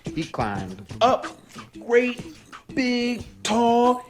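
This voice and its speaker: A woman pre-K teacher giving an expressive read-aloud lesson, drawing out key words and putting guiding questions to young listeners. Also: on the high side. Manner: drawn-out emphasis on key words.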